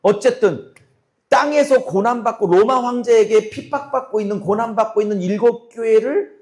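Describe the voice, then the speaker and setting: A middle-aged man preaching a sermon to his congregation, his voice hoarse and cracking. He fires rhetorical questions at the listeners and speaks bluntly and forcefully. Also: high-pitched, moderate pace. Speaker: pastor.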